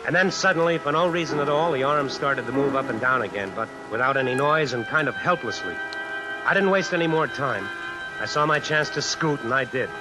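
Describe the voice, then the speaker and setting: An actor playing a brawling, boastful tough drifter with an American accent in 1940s radio suspense style. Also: male voice, mid-range voice, a little fast, over loud music, close to an everyday voice, rough recording. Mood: uneasy narration. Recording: old radio recording under rain noise.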